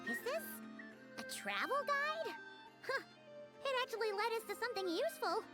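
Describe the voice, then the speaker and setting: A woman voicing a small, cheerful, boastful childlike fairy sidekick in a video game, with a squeaky voice and bubbly manner. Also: sing-song, moderate pace. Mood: amazed. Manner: bubbly, refers to self in third person. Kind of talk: video game character dialogue. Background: game music.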